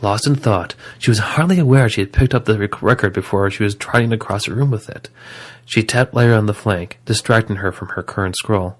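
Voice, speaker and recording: Male narrator, low pitch, scripted storytelling, audio drama. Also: brisk.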